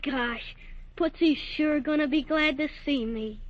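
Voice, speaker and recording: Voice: high voice, a little slow, no echo. An actor playing a determined, earnest young boy with folksy exclamations in 1940s radio drama. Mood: earnest, polite. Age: child character. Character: determined young boy searching for his lost dog. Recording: dull old radio recording.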